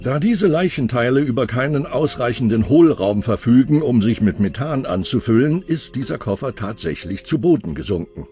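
A man's voice: medium pitch, lively intonation, normal speed, hardly any pauses. A man reading scripted narration for a true crime documentary. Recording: music under the voice. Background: background music.

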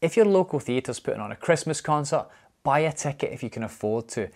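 A man's voice: medium pitch, animated, a little fast. A young man delivering a scripted piece to camera as a presenter.

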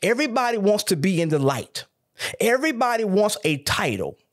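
A man's voice: sits high, big pitch swings, a little fast. A male pastor preaching a sermon, building emphatic points and calling out to the congregation. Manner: preaching with rising emphatic calls.

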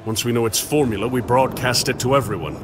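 An actor playing a grim, defiant space marine in a video game, speaking in a terse, serious way. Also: male voice, medium pitch, quick pace, music in the background, close to an everyday voice. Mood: grim, determined.

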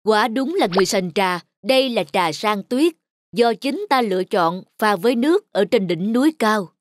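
A woman's voice: medium pitch, lively intonation, moderate pace, no room sound, studio quality, lightly acted. A woman voicing a wealthy, proud male landlord who loves tea in a cartoon folktale, talking in a commanding, eager way. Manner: commanding, eager, addresses servants curtly. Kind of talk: dubbed cartoon folktale acting.

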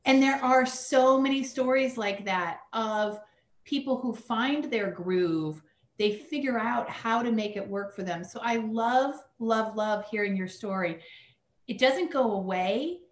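A woman's voice: fairly high pitch, a little slow. Affirming woman on an echoey video call. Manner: warm, affirming, emphatic. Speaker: guest, ADHD coach. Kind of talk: interview answer. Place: home office with bookshelves. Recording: roomy video-call sound.

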